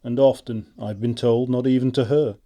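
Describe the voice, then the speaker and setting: A mellow middle-aged clergyman acted by a man in an audio stage comedy. He is mild, bashful and pedantically formal, at a medium pitch. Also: fairly even intonation, normal speed, lightly acted. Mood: bashful, pedantic.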